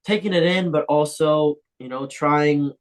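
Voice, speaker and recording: A man's voice: a little high, steady tone, measured pace. Easygoing young man with frequent ums. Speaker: professional footballer guest. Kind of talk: casual interview answers.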